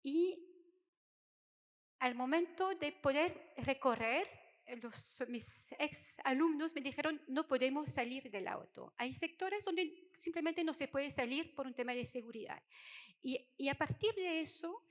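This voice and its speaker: A woman's voice: high-pitched, a little slow. A middle-aged woman from France with a French accent, giving a conference talk in a hesitant way, with many fillers and restarts. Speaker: conference speaker. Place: conference hall. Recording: hall reverb.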